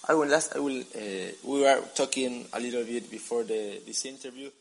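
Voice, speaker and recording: A man interviewing a guest, halting as he speaks, repeating words and saying "eh" between phrases. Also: medium pitch, creaky, husky, poor audio. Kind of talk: interview questions.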